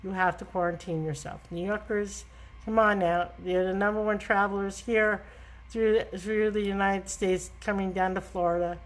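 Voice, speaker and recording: A woman's voice: medium pitch, steady tone, moderate pace, rough recording. A middle-aged woman with a New York accent hosting a live travel talk, emphatic and scolding in a chatty way. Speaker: travel agent host.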